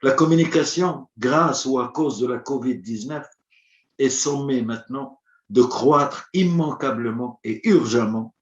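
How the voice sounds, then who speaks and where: Male conference speaker, first language Arabic; formal, measured, rhetorical; mid-pitched voice in a roomy space, dull lo-fi sound. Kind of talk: formal prepared address.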